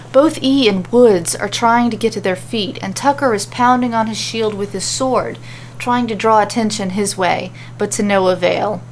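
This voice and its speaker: Woman narrating a fantasy story, noisy recording. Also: mid-range voice. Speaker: narrator and author reading a story.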